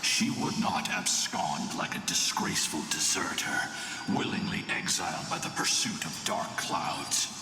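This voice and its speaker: An actor playing a solemn, stern warrior in a video game, with formal archaic speech and a gravelly, breathy voice. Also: male voice, sits low, big pitch swings, measured pace, slight background noise, full character voice. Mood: grave, foreboding. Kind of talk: dramatic video game voice acting. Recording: echoey hall with long reverb, distant. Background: loud game music.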